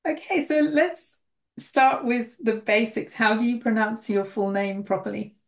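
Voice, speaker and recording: A woman hosting an English-learning podcast, welcoming her guest and asking clear, friendly questions. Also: on the high side, a little slow. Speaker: podcast host, English teacher.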